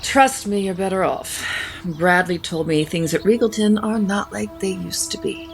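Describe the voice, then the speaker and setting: An actress playing an inquisitive, eager woman in an audio soap opera, with a creaky edge to her voice. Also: mid-range voice, normal speed, faint music behind, close to an everyday voice. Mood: keenly curious. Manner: eager, probing.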